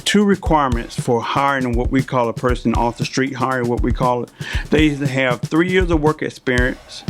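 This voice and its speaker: Older male interview guest, mid-pitched, explanatory and conversational, faint music underneath. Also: moderate pace. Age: 50s or older. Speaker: interview guest, veteran air traffic controller.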